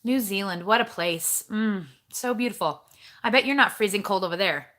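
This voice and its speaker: A woman's voice: mid-range voice, moderate pace, a bit bright. A woman hosting a casual livestream from home, chatting with viewers and greeting them by name in a bubbly, rambling way. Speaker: livestream host. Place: home kitchen.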